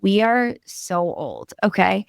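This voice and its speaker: A woman's voice: mid-range voice, huge pitch swings, slow, creaky, dry recording, clean studio sound. A woman in her late twenties, a guest on a podcast, chatting casually and eagerly. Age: late 20s. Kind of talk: casual chat.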